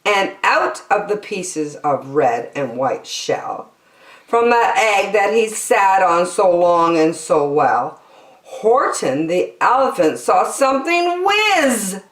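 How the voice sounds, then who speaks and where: A woman storyteller reading a children's storybook expressively, with dramatic, deliberate phrasing. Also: medium pitch, very animated. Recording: roomy echo.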